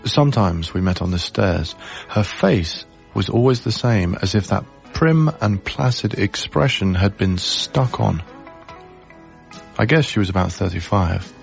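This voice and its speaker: Low, gravelly, heartbroken young Englishman. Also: animated, measured pace, lo-fi sound, faint music behind. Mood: brooding, reflective. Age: young adult. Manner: wry, reflective, reticent. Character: heartbroken, guarded young man, a wry lodger. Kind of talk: radio drama acting with intimate first-person narration.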